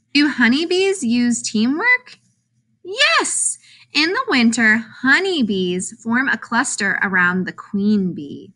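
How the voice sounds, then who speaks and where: A woman reading a children's picture book aloud like a teacher, putting expressive emphasis on questions and answers. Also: on the high side.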